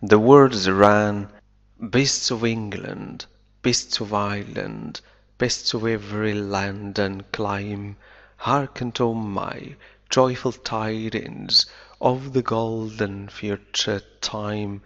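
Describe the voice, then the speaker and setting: A man teaching English, reading a novel aloud slowly and deliberately and explaining it line by line, an Arabic speaker. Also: fairly low pitch, steady tone, a bit bright. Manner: slow deliberate reading, then quick explanations. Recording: dull lo-fi recording.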